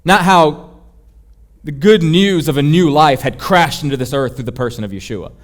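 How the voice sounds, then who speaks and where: A man's voice: on the high side, normal speed. A man preaching a sermon in an emphatic, rhetorical and confessional manner. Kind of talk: sermon, teaching.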